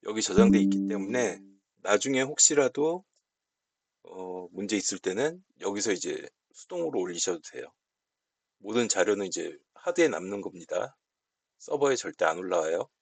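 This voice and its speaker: A man giving a casual, unscripted software walkthrough, explaining politely step by step. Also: on the low side, animated, moderate pace, vocal fry, husky, no echo. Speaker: software tutorial presenter.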